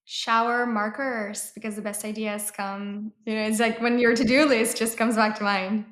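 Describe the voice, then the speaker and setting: A woman's voice: on the high side, level tone, moderate pace, fairly bright tone. A woman from Mexico, a native Spanish speaker, talking casually as an interview guest and startup founder. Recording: roomy, echoey sound.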